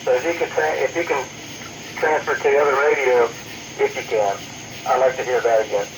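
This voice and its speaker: Man, mid-pitched, casual ham radio operator, heard through a radio speaker in a noisy recording. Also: steady tone, brisk. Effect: two-way radio transmission. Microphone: radio transmission recorded off the speaker.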